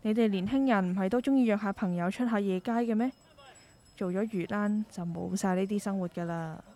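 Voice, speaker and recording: A woman's voice: medium pitch, fairly quick, mellow, natural voice. A woman playing a caring, world-weary middle-aged mother who runs a market stall, reflective and concerned, in a Hong Kong Cantonese radio drama. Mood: concerned, resigned. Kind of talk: scripted radio drama dialogue.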